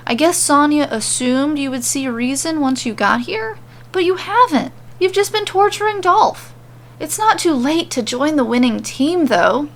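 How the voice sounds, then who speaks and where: A woman's voice: high voice, lively intonation, a little slow, voice pushed up. A woman voicing a smug, manic male villain king with a sneering, condescending way of talking in an audio story.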